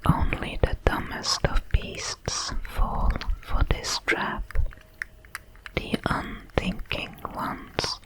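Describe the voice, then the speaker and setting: A woman voicing a calm, watchful alien predator in a breathy whisper, with formal, measured diction for an ASMR audio roleplay. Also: rough recording. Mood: calm, observant. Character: many-legged alien predator, calm, watchful, protective, coldly curious.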